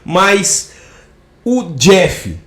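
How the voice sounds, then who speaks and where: Male podcast host, upbeat and flowery, slightly high voice in a roomy, echoey space. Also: sing-song, extremely slow, a bit bright. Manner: effusive, inspirational phrasing. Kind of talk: podcast interview.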